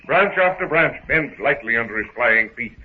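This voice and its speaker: Man narrating, mid-pitched, theatrical scripted storytelling, 1930s radio serial, thin scratchy recording. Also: expressive, moderate pace, husky, phone-quality sound. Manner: theatrical, present-tense storytelling.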